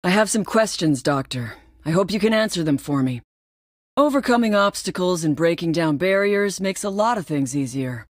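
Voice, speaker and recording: A woman's voice: on the low side, animated, moderate pace, no echo, studio quality, close to an everyday voice. An actress playing a confident, tough female tactical soldier, direct and businesslike, in video game voice lines. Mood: cool, confident.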